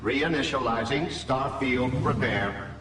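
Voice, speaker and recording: A man's voice: medium pitch, slow, noisy background, close to an everyday voice. Echoey, impassive spaceship computer played by a man. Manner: formal, clipped announcing. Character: impassive male spaceship computer announcing malfunctions. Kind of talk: video game system announcements. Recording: echoey, distant ship announcement over music.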